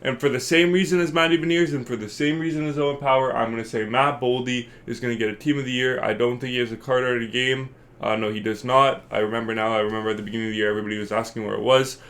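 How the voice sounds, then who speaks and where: A man with a stuffed-up, congested voice talks through his video game predictions in a loose, rambling way. He fills his speech with ums and uhs and thinks out loud. His pitch sits right in the middle for a man. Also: fairly even intonation, rapid-fire, hardly any pauses. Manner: rambling, filler-heavy, thinking aloud. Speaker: gaming channel host. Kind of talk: casual video game commentary.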